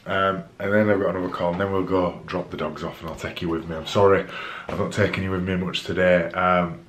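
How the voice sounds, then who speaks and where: A man's voice: low voice, level tone, fast talker, fairly bright tone, slight background noise. A man, vlogging casually to a camera at arm's length, slightly nasal from a head cold, rambling with fillers. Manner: chatty, rambling with fillers and restarts. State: stuffy nose from a head cold. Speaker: vlogger, dad. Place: outdoors on a country road, then a home office. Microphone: camera held at arm's length.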